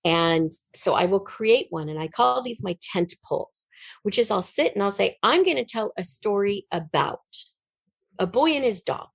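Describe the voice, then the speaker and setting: A woman's voice: medium pitch, expressive, moderate pace, creaky, slightly rough, no room sound. A woman speaking as an interview guest, explaining things conversationally like a storyteller thinking aloud. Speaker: interview guest, fiction writer.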